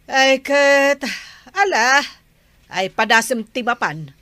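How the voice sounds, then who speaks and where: A woman's voice: high-pitched, lively intonation, takes it slowly, sharp tone, dry recording, exaggerated voice. A woman with an Ilocano accent acting a baffled, dismayed village woman in a comedy drama.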